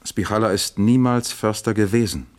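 An actor playing a probing, dry-witted East German police captain in a radio crime drama, musing and questioning deliberately. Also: male voice, lowish voice, lightly acted. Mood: thoughtful, probing.

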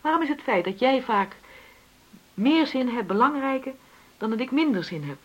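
An actress playing a hurt, argumentative middle-aged wife in a Dutch radio play, with a pointed, insistent way of questioning. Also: female voice, high voice, animated, moderate pace, highs cut off. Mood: insistent reproach.

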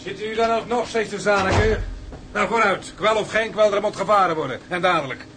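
Guy doing bossy sailor, talks real fast, highish voice, no nonsense, radio drama. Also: background noise, natural voice. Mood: urging. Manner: brisk, commanding. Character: brisk, no-nonsense seaman driving the crew on. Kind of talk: radio play acting.

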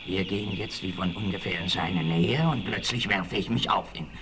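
A man's voice: sits low, moderate pace, close to an everyday voice. An actor playing a ruthless, scheming and hot-tempered bandit colonel in a 1960s German audio drama, sly and mocking as he gives orders. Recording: faint noise of an old vinyl record.

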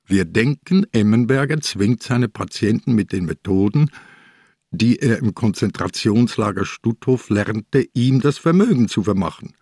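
Husky, slow-spoken old police inspector. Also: male voice, on the low side, animated, dark tone, no room sound, clean studio sound, heavily acted. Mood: grave, relentless. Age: older. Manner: deliberate, probing, unflinching. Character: ailing old police inspector, calm, probing, relentless. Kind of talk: German radio crime drama acting.

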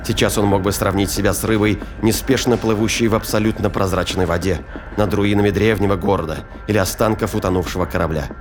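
Low-voiced male audio drama narrator. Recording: studio reading over prominent music and effects.

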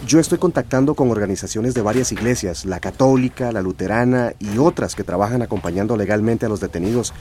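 A man playing an earnest journalist and boyfriend in a radio drama, with a measured manner. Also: on the low side, dark tone, a little hiss or hum, lightly acted.

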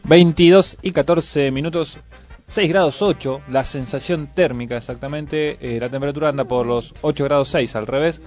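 A man's voice: a little high, moderate pace, a little mellow, soft background music. A man with a Buenos Aires area Argentine accent, co-hosting an informal live radio talk show. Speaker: radio co-host.

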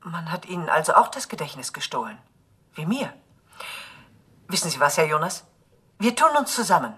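Noir radio client: husky, on the low side, cool, determined, expressive intonation. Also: female voice, normal speed, exaggerated voice. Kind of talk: radio drama acting.

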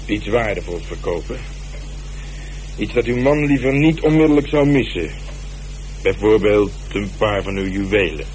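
An actor playing a methodical police detective in a radio drama, talking in a measured, colloquial, dryly ironic way. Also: male voice, lowish voice, lively intonation, a little mellow, natural voice. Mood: coolly insistent. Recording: noisy radio play recording, heavy background noise.

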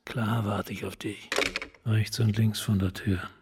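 An actor playing a commanding detective sergeant in a German radio crime drama, brisk and dry as he gives orders. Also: lowish voice, level tone, heavy background noise. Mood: terse command.

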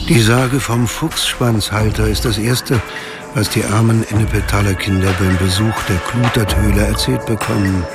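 A man narrating a radio drama as a brooding storyteller of a dark mystery, in a measured, ominous manner. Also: on the low side, steady tone, over loud music, natural voice.

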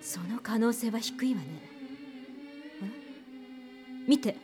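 An actress playing a composed, analytical senior detective in a radio drama, speaking deliberately and with authority. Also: female voice, fairly high pitch, over music.

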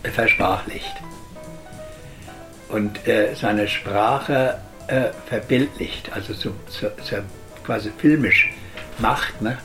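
A man giving unscripted interview commentary, halting and searching for words with repeated restarts. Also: on the low side, a bit bright. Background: guitar music, clearly heard.

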